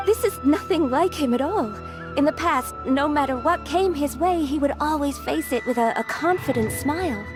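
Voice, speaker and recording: A woman's voice: high-pitched, expressive, moderate pace, music in the background. An actress playing a worried young female sword student who pleads anxiously in a video game.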